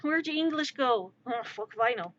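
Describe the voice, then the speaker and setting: A woman's voice: fairly high pitch, expressive, moderate pace, a bit bright, dry recording. A woman streamer whose first language is American Sign Language, chatting casually, joking, rambling, and swearing freely. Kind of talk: casual live-stream chat. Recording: muffled lo-fi stream audio.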